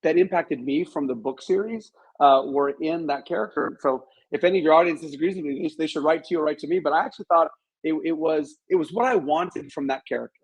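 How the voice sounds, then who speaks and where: A man speaks as a guest in a conversational interview. His voice sits a little high for a male and has a husky grain. He lays out his opinions analytically and with emphasis. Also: fast, poor audio.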